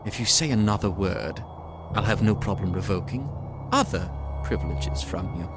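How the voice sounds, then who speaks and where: Actor for audio drama villain: icy man in charge, deep-leaning voice, condescending and measured, music bed. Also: animated, lightly acted. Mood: cold menace. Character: Halfich, cold menacing male authority figure.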